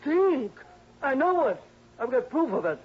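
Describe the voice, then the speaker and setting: An actor playing a cantankerous, suspicious old miser in a 1960s radio drama, blunt and demanding. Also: male voice, very high-pitched, sing-song, measured pace, slight background noise, heavily acted. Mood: crabby, suspicious. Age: older. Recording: dull old radio broadcast.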